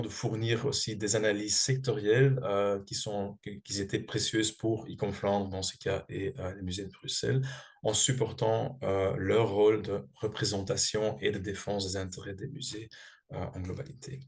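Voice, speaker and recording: A man's voice: lowish voice, flat delivery, normal speed. A man, a French speaker giving a research presentation, hesitant with many fillers and restarts. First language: not French. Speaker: guest speaker, research coordinator. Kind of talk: presentation talk.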